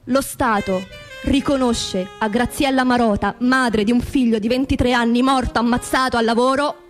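Female narrator for radio theatre: solemn, deliberate, scripted delivery over faint music. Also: on the high side, fairly even intonation. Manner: solemn, measured, deliberate phrasing. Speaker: stage narrator.

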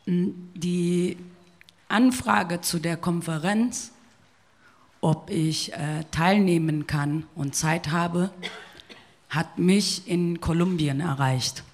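Low-voiced woman speaking slowly, deliberately. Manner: deliberate, careful delivery. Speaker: panelist, former interpreter. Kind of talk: panel talk.

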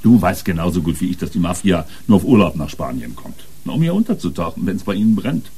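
Husky, blustering police boss. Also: male voice, sits low, very animated, fast, warm tone, heavily acted. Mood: scornful, commanding. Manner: emphatic, scornful. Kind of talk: radio crime drama acting.

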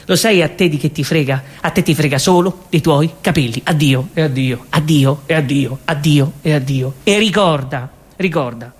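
A nagging, sarcastic young woman, put on by a male actor, pitched a bit lower than his own voice but still fairly high. She lectures and accuses in a stage comedy. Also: moderate pace, hardly any pauses, breathy edge. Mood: lecturing.

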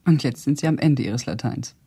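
An actress playing a blunt, sardonic older woman with a husky, gravelly voice, indignantly loyal to an old friend, recounting memories in a German radio drama. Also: very deep voice, warm tone, exaggerated voice. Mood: dismissive, pointed. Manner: blunt, sardonic, deliberate storytelling.

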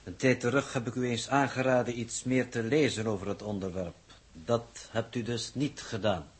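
A man playing a reproachful spaceship crew member in a 1960s Dutch radio drama, measured and formal in manner. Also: mid-range voice, fairly even intonation, husky, natural voice. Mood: dry reproach. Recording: old radio recording with noise.

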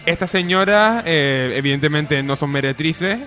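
A man with an accent from Spain hosts comedy radio. His voice is a bit high, and he banters casually over soft music. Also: moderate pace.

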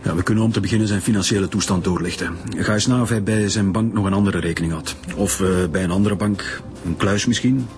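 A man's voice: sits low, quick pace, faint music behind, lightly acted. A man with a Flemish accent playing an authoritative senior police official in a radio drama, formal in manner. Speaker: actor in a radio crime serial. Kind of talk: naturalistic radio drama acting.

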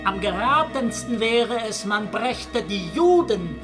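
A woman voicing a venomous pamphleteer, reading deliberately and declaiming in a radio play. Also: medium pitch, animated, over music.

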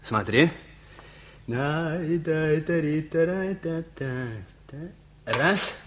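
A man's voice: fairly high pitch, drawn-out words, noisy background. An actor playing a self-assured young man just out of school in a radio play, terse and offhand.

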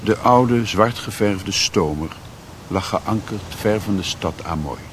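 An actor as the reflective narrator of a sea tale, reading literary prose in a radio play. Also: male voice, on the low side, takes it slowly. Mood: brooding. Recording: faintly noisy old radio recording.

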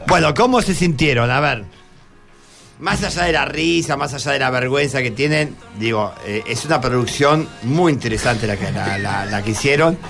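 A male radio host speaks at a middling pitch with a Rioplatense accent. He talks without a script, halting and repeating words, with faint music behind him. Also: fairly bright tone. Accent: Rioplatense Argentine. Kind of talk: unscripted radio hosting.

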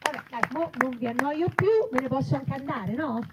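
A woman's voice: high voice, brisk. A woman, a writer and actress reading comic vignettes from her own book to a live event. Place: live festival stage, outdoors in an olive grove. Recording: dull, noisy live event recording.

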